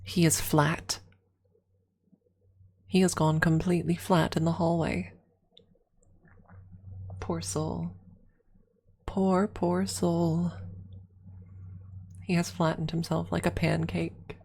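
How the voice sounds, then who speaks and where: Low, breathy, slow-talking woman streamer. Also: little pitch movement. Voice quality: soft, breathy, often near a whisper. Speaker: ASMR streamer, host. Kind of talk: casual ASMR livestream chat with viewers. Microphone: close ASMR microphone.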